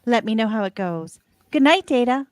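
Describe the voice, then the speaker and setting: Woman playing a high-pitched, reassuring counselor. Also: lively intonation, normal speed, no room sound, lightly acted. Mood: caring, reassuring. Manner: gentle, encouraging. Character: reassuring female ship's counselor. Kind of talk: amateur radio play live read.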